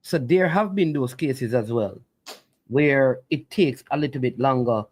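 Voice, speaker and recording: A man's voice: medium pitch, unhurried, a little mellow. A man hosting a sports talk show, politely steering callers and carefully explaining points. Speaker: show host.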